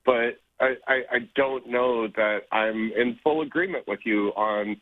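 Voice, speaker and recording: A male caller on a radio show, speaking hesitantly and stumbling over his words with many fillers. His voice sits in a middle pitch. The audio is a dry, tinny phone line. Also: measured pace, no echo.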